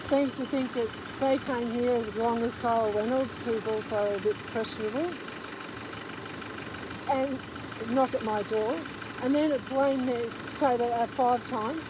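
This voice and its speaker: A woman's voice: fairly high pitch, fairly even intonation, a little slow. An Australian woman making an aggrieved complaint in conversation, insistent and repetitive, firing off rhetorical questions. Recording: noisy outdoors, engine and vehicle sounds.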